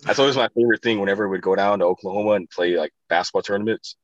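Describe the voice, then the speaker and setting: A man's voice: lowish voice, fairly even intonation, racing, no echo, muffled recording. A laid-back man chatting casually, rambling through family stories and laughing often. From South Dakota. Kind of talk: casual chat.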